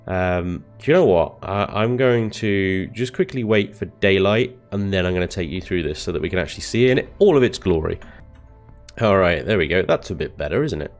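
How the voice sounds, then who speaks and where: A man with a rough, hoarse voice from the flu, chatting casually as he shows off his base in a video game. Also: low voice, animated, fairly quick, faint music behind. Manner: chatty, self-deprecating, frequent ums and chuckles. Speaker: gaming video host. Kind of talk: casual gameplay commentary.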